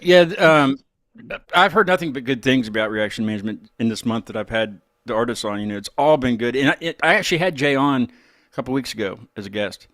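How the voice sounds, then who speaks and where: An American man hosting an interview show, chatting casually in a rambling, halting way. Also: mid-range voice, a bit bright, no echo, clean studio sound. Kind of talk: casual chat.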